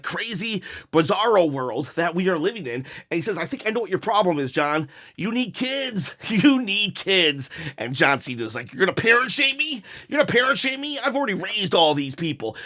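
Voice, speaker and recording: A man with a raspy, worn-out voice talks through a wrestling review in one unbroken take. His voice sits high and sharp-edged. He rambles into jokes at his own expense, and coughs break in now and then. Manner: jokey, self-mocking, rambling asides. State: hoarse, recovering voice, coughing. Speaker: wrestling review channel host.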